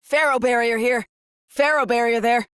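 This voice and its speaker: A woman's voice: fairly high pitch, fairly even intonation, normal speed, clean tone, dry recording, clean studio sound, natural voice. A woman playing a cool, professional fighter in a video game, giving controlled callouts. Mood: cool approval.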